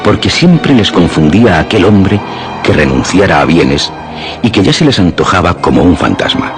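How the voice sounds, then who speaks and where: A man with a Castilian Spanish accent narrating a radio suspense drama in measured, literary storytelling. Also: deep voice, big pitch swings. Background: music.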